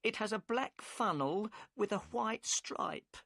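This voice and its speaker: A man's voice: high voice, lively intonation, takes it slowly, vocal fry, fairly bright tone, no echo, studio quality, pitched above the performer's own voice, exaggerated voice. A man voicing a worried, tearful middle-aged wife of a boatman, who answers deferentially and keeps calling him sir. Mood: anxious. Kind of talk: audiobook character voice.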